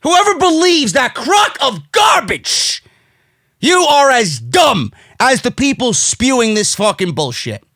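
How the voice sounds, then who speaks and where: A man's voice: very high-pitched, big pitch swings, slow pace, pushed and bright, no room sound, clean studio sound. A man with a New York accent delivering a brash, profane, indignant rant as an opinionated wrestling commentary host, hammering his points with emphasis. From United States.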